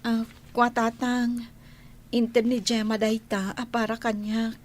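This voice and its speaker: An actress with an Ilocano accent playing a sickly, meek young daughter in a radio drama, in a weak, subdued way. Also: female voice, fairly high pitch, level tone, measured pace, natural voice. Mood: meek, anxious.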